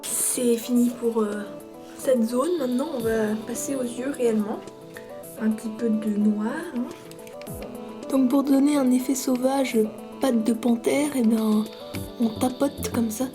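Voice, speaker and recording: A woman with a cold chats through a makeup tutorial. Her voice sits a little high, and she pauses constantly with fillers while keeping a mock-serious, playful tone. Music plays clearly in the background. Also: fairly even intonation. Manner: hesitant, many fillers, playful parody tone. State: stuffy with a cold. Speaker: makeup vlogger.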